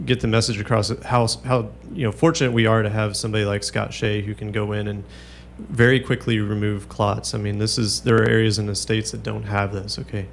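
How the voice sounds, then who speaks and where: Gravelly low-voiced man, halting. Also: little pitch movement, slight background noise. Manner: halting, many fillers and restarts. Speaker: neurologist guest. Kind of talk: radio interview.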